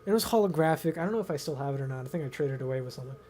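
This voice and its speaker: A man's voice: mid-range voice, animated, very fast, warm tone, a little hiss or hum. A young American man telling stories casually to a friend, rambling and slangy, often repeating himself. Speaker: video host. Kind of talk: casual storytelling chat with a friend.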